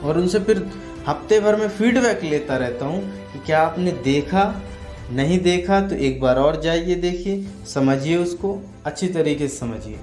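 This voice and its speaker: A man's voice: on the high side, expressive, unhurried, a little mellow. A man giving an instructional talk as a coach, explaining emphatically and repetitively with lists of examples. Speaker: network marketing coach. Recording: roomy echo with music underneath. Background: music.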